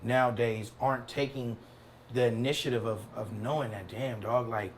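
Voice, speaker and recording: A man's voice: medium pitch, fairly even intonation, normal speed, slight background noise. A man from South Bay, Los Angeles, a barber guest, chatting casually in slangy, rambling talk with lots of "you know what I'm saying". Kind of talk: casual podcast chat.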